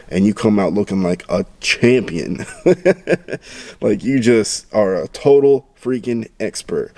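A man in his thirties with a fairly low voice talks through a home recipe in a loose, chatty way, full of ums and quick restarts. The recording is dry and close, with almost no room sound. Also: dark tone, dry recording. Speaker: home cooking vlogger. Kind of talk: casual cooking instructions and tasting. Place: parked car and home dining room.

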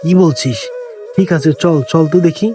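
A man voicing a kind, poor farmer who is a father and speaks gently and with care. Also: a little high, moderate pace, soft background music, lightly acted. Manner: gentle, caring.

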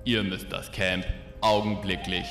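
A man's voice: low voice, level tone, measured pace, reverberant. A man delivering solemn scripted announcements as the announcer of a game show. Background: clear music.

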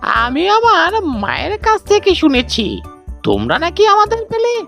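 A woman's voice: very high voice, wildly swooping pitch, unhurried, gravelly, fairly bright tone, soft background music, heavily acted. A woman voicing a small, wary, loyal grasshopper in a children's cartoon.

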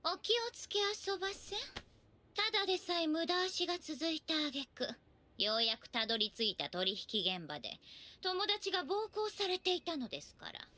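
A woman's voice: very high-pitched, big pitch swings, moderate pace, heavily acted. An actress playing a prim but fierce teenage girl enforcer who speaks in polite, ladylike formal phrases. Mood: stern. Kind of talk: anime dub acting. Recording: voice over loud background music.

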